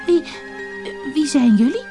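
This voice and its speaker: Little girl played by a woman, storybook: curious, brave, wide-eyed, high and animated. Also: measured pace, music in the background, full character voice. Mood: hesitant, curious. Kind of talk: storybook character voice.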